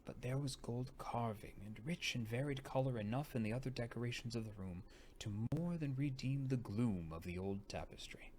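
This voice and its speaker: A man narrating a gothic novel as an audiobook reader, unhurried and dramatic, sometimes sinking into breathy near-whispers. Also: medium pitch, darkish tone. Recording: noticeable background noise.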